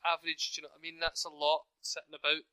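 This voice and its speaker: A man's voice: a little high, monotone, very fast, bright, forceful tone, smooth, no echo. A man with a Glaswegian accent chatting casually and jokingly on a podcast call, swearing freely. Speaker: podcast co-host. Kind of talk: casual chat.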